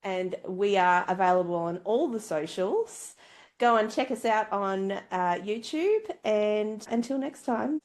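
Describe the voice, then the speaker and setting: A woman's voice: mid-range voice, measured pace, a little mellow. Woman hosting a playful book podcast. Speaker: book club podcast host. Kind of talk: casual book review chat with scripted sign-off.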